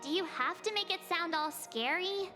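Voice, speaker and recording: Very high-voiced perky teenage girl. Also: moderate pace. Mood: chatty, wry. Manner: chatty, expressive, informal. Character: perky, easily flustered teenage girl adventurer. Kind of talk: acted video game dialogue. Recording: reverberant, with music behind.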